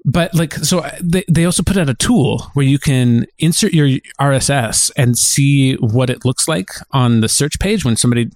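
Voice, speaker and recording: A man's voice: medium pitch, normal speed, few pauses, a little mellow, dry recording. A man co-hosting a podcast, chatting casually in loose, informal phrasing. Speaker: podcast co-host. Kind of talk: casual chat.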